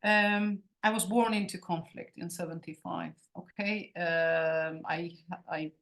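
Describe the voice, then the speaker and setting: A woman's voice: on the low side, steady tone, takes it slowly, fairly bright tone. A woman in her forties from Cyprus, a native Turkish speaker with a Turkish Cypriot accent, giving an online lecture in a conversational way. She speaks earnestly and emphatically, often repeating words as she searches for them. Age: 40s. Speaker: guest lecturer. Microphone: video call.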